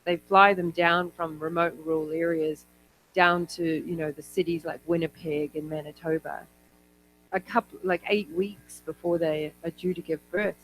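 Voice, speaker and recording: A man's voice: on the high side, flat delivery, moderate pace, a bit bright, faint music behind, rough recording. A man from New Zealand speaking as an academic in an informal conference talk, conversational and given to digressive asides. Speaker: academic speaker on health policy.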